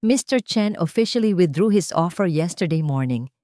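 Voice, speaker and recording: A woman's voice: fairly low pitch, animated, moderate pace, warm tone, no echo, clean studio sound, natural voice. A woman with a Filipino accent voicing a hesitant, deferential male executive assistant in an audio drama, formal and polite. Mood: hesitant, apologetic.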